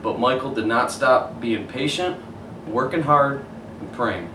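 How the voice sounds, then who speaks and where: A man reading a children's picture book aloud as a coach would, pausing to point out the pictures. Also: medium pitch. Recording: roomy, distant mic, faint hiss.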